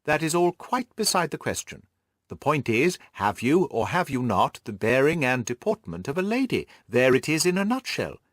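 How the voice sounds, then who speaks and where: A man voicing a jovial, effusive, stout middle-aged gentleman in an audiobook, exclaiming and repeating his words genially. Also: a little high, very animated, moderate pace, no echo, clean studio sound. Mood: blustering, flattering. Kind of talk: audiobook character voice.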